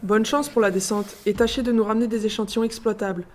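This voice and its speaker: A woman's voice: a little high, fairly even intonation, fast, slight background noise, natural voice. A young woman playing a caring, businesslike mission commander in a sci-fi audio drama, instructive and encouraging. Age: young adult.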